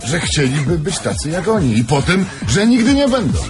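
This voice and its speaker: An actor playing a greedy, dreamy, mocking thief in theatrical radio-play dialogue. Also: male voice, fairly high pitch, big pitch swings, moderate pace, over music.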